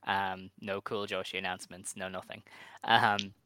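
Male podcast host, smooth bright voice, dry close mic, friendly upbeat chat. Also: low-pitched, level tone, normal speed, dry recording. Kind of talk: casual chat.

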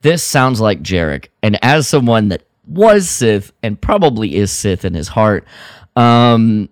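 A man co-hosting a podcast, chatting casually and retelling a story in an animated way. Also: fairly low pitch, measured pace, dry recording, clean studio sound. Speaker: podcast co-host. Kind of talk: casual review chat about an audio drama.

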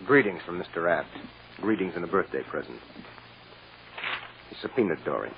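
A man's voice: deep voice, lively intonation, normal speed, creaky. An actor playing a kindly, fatherly defense lawyer in an old radio drama. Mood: grim, bitter. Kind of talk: 1940s-50s radio drama acting. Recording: muffled, noisy old radio recording.

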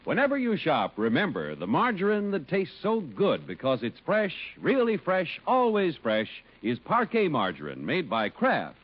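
High-pitched, animated vintage radio announcer man. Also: moderate pace, slightly rough. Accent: American. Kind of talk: scripted 1950s radio announcing. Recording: muffled old radio recording.

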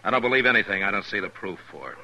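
An American actor playing a calm, fair-minded frontier marshal in a 1950s radio western, speaking plainly and with authority. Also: male voice, lowish voice. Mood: measured, advising. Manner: measured, plain-spoken, authoritative. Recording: noisy old radio drama recording.

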